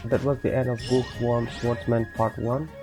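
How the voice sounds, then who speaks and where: A man's voice: medium pitch, little pitch movement, moderate pace. A man hosting a gameplay channel, giving a casual, slightly hesitant outro. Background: music.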